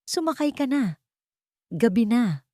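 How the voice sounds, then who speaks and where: A woman's voice: fairly high pitch, big pitch swings, takes it slowly, dark tone, no echo, pitched above the performer's own voice. Narrator lady as cold rich boss woman, clipped and firm, romance audio story, dry sound. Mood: curt.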